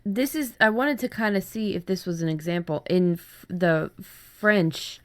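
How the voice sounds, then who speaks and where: A woman's voice: mid-range voice, animated, a little slow. A woman co-hosting in casual chat, informal and thinking aloud as she goes. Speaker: writer and workshop co-host.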